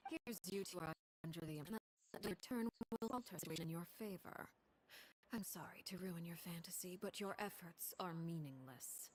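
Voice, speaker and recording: A woman's voice: lowish voice, fairly quick, a little hiss or hum, lightly acted, low-quality recording. A woman voicing a cold, composed, commanding woman in a video game, speaking formally and with condescension. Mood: cold disdain. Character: cold, commanding, composed woman who bargains with quiet menace.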